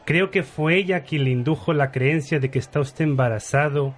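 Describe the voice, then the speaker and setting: A man's voice: fairly high pitch, normal speed, close to an everyday voice. A man playing an earnest, pedantic government scientist, pompous and formal, in an absurd radio soap. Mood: officious.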